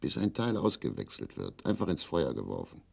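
An actor playing a bitter, drunk, lonely old East German husband, gravelly and grumbling, in a grotesque radio drama. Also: male voice, extremely low pitch, wildly swooping pitch, a little fast, a little mellow, full character voice. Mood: melancholy, self-pitying. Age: older. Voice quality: gravelly, husky. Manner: grumbling, declamatory, self-pitying.

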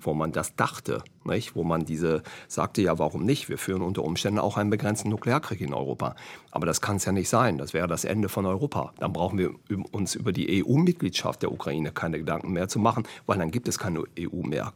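A man in his sixties, a retired general, arguing insistently in a political debate and explaining with rhetorical tag questions. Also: lowish voice. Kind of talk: political debate, spontaneous argument.

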